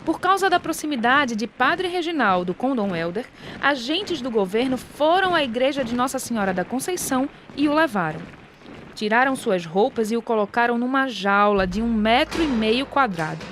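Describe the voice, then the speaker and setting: A woman's voice: fairly high pitch, expressive, normal speed, a bit bright, a little hiss or hum. A Brazilian woman reading a scripted documentary narration as the narrator. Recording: music behind the voice. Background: background music.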